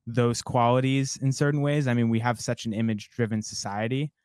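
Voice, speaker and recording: Smooth-voiced young man musing reflectively. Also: medium pitch, steady tone, fairly quick, a little mellow, dry recording, studio quality. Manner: reflective, rambling with many "like" fillers. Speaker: songwriter guest. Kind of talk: casual interview conversation.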